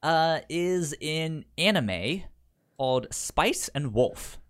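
A man co-hosting a casual podcast, with a strongly animated pitch range. He has a pronounced stutter, often repeating opening sounds and syllables as he chats or reads aloud. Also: slow pace. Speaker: podcast co-host. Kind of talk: casual podcast chat, reading synopses aloud.

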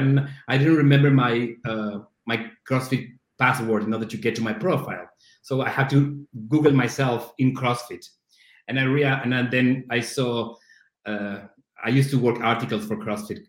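A man in his thirties whose first language is Spanish talks in a roomy, echoing space at a middle pitch. He speaks haltingly, restarting phrases and laughing at himself as he tells stories in a relaxed interview. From Argentina. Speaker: podcast guest, CrossFit coach. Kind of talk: casual interview chat.

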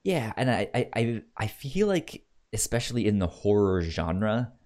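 A man co-hosting a casual film discussion, talking haltingly and often repeating words as he gets his thoughts out. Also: on the low side, sing-song, darkish tone. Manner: halting, repeats words and stammers over starts. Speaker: podcast co-host.

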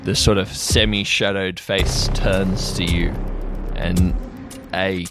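A man working as game master, improvising fantasy narration in a casual, halting, conversational way. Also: low voice, little pitch movement, over music. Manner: conversational, frequent "like" and trailing pauses.

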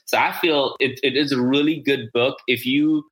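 A man's voice: medium pitch, fairly even intonation, moderate pace, a bit bright, poor audio. A man hosting a business podcast, talking casually and enthusiastically, often repeating words as he goes. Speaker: podcast host.

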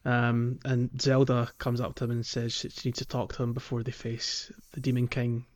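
A man co-hosting a podcast, chatting informally and haltingly as he recaps a video game. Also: mid-range voice, monotone, darkish tone. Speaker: podcast co-host. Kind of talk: casual video game playthrough chat and recap. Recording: slightly dull sound, faint music.

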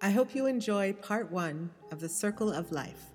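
A woman's voice: mid-range voice, animated, normal speed, a little mellow, long reverb tail, faint music behind. A woman hosting a podcast, explaining in an easy, conversational narration. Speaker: podcast host.

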